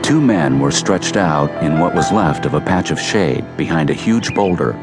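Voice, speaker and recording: Very deep-voiced man narrating a western. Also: lively intonation, normal speed, loud music behind the voice. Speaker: audiobook narrator.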